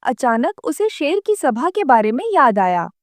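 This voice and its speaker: Woman narrator, high voice, very lively intonation, children's story, dry recording. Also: unhurried, dry recording.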